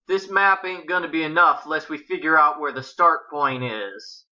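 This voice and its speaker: A reserved young cowboy, acted out by a female reader, talks in short rustic phrases. He sounds irritable and guarded, with a sharp tone. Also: low-pitched, moderate pace, dull audio. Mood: guarded, quiet.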